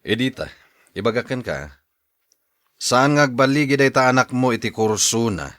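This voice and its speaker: A man with a Filipino Ilocano accent playing a domineering, angry middle-aged father in a radio serial, gruff and scolding. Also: medium pitch, animated, moderate pace, fairly bright tone, dry recording, studio quality, close to an everyday voice. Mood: gruff, displeased. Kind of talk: radio serial drama acting.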